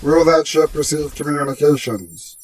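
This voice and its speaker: A man playing a gentle, earnest alien envoy in a sci-fi radio drama, speaking in stilted, formal phrasing. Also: a little high, steady tone, moderate pace, slight background noise, natural voice. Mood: thoughtful, measured. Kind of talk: golden age sci-fi radio drama acting.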